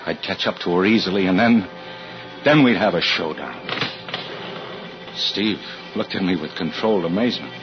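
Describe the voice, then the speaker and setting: An American man playing an anxious, suspicious husband narrating a 1940s radio suspense drama, with deliberate, clipped delivery. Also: low voice, natural voice. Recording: muffled 1940s radio recording with music.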